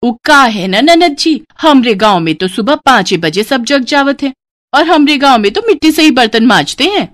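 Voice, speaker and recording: Woman playing a high-pitched brash young Banaras village bride. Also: expressive, moderate pace, a bit bright. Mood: explaining. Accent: rustic Banaras. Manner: exclamatory, folksy, cheeky. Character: brash, cheerful young village daughters-in-law, proudly thrifty.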